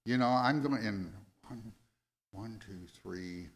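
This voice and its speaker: An American man in his seventies preaching a sermon, going verse by verse in a halting, deliberate way and repeating phrases for emphasis. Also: fairly low pitch. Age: 70s. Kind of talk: sermon, verse-by-verse Bible exposition.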